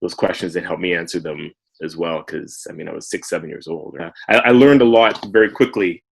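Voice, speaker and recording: Very deep-voiced Canadian man. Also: a little fast, vocal fry, breathy edge. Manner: relaxed storytelling with many fillers. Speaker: guest. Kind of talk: casual interview.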